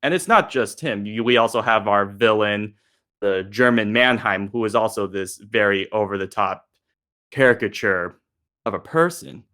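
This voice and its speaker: A man hosting a panel discussion, posing questions in a measured, conversational way. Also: lowish voice, bright, forceful tone. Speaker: podcast host moderating a panel.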